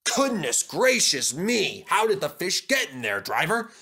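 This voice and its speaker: A man voicing a jovial but firm older gentleman who runs a railway in a children's storybook. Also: sits high, huge pitch swings, a little slow, voice pushed up, exaggerated voice. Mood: astonished.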